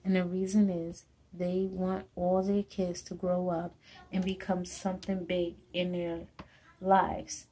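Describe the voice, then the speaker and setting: A young woman from Jamaica with a Jamaican accent, slowly reading a personal essay to a webcam in a small room at home. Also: fairly low pitch, flat delivery, dark tone, breathy edge, rough recording. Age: young adult. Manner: slow, halting reading with restarts. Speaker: student. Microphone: webcam or laptop camera close up.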